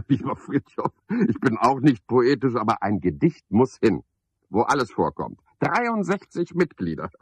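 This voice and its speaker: A man's voice: mid-range voice, very animated, a little slow, breathy edge, no echo. An actor playing a self-important, touchy chairman of a mushroom club in a radio play, talking with cajoling pomposity. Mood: animated, insistent. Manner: pompous, cajoling, one-sided conversation. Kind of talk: 1970s German radio play acting. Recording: dull, band-limited 1970s radio recording.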